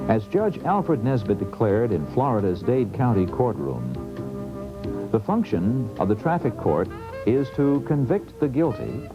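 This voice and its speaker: A man reading scripted instructional narration in a formal, measured way, on a dull old training tape. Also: mid-range voice, big pitch swings. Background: clear background music.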